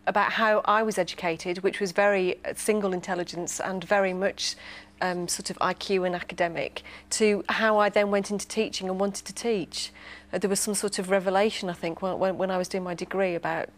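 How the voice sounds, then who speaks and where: A woman's voice: mid-range voice, fairly even intonation, a little fast. A woman, speaking reflectively as a teacher in a panel discussion.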